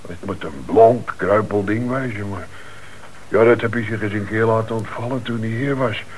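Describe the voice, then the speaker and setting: A man's voice: lowish voice, normal speed, lightly acted. An actor playing a troubled, deferential working-class father in a radio play, speaking plainly and hesitantly. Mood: resigned. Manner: hesitant, humble, plain-spoken. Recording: noisy old radio drama recording.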